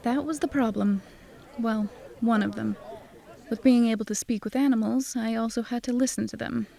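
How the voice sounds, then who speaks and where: A woman's voice: fairly high pitch, steady tone, moderate pace, vocal fry, warm tone. A woman narrating a scripted first-person audio drama in a wry, sardonic manner.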